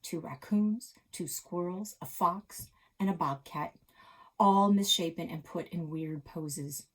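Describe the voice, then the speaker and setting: A woman reading a children's story aloud as a dramatic narrator, expressive and suspenseful. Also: on the low side, slow pace.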